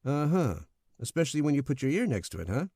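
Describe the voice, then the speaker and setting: A man playing a kindly, wry, easygoing fellow in an old-time radio drama, folksy and gently teasing. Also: fairly high pitch, very animated, fast talker, dry recording, studio quality.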